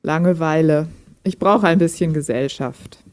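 A woman's voice: deep voice, measured pace, a little mellow. Woman acting a reserved, lonely hobby programmer. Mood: resigned, candid. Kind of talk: amateur audio drama dialogue, read chat.

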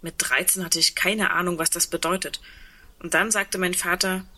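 An actress playing a wry, resigned, reflective woman in a radio drama, with a husky voice and dry humor. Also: on the low side, fairly even intonation, moderate pace, bright, forceful tone. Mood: dryly amused. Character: tall, wry, resigned woman insolvency administrator facing doomsday. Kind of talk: radio drama dialogue acting.

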